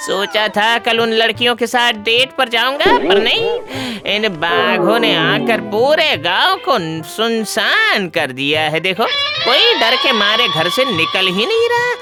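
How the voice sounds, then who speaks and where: Casting: mischievous male ghost for comedy cartoon. Exclamatory, giggly, very animated pitch, unhurried; loud music bed. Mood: frustrated.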